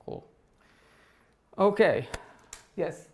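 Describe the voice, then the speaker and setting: A man's voice: high-pitched, lively intonation, drawn-out words. A man giving a technical talk and answering audience questions, speaking as a with frequent fillers and restarts. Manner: hesitant, many fillers and restarts. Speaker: tech talk presenter.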